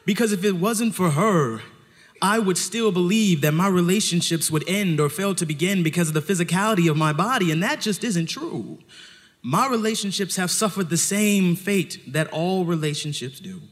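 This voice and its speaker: A man's voice: high voice, normal speed. A man telling a story live on stage, theatrical and dramatic, with pointed emphasis, recorded in a hall.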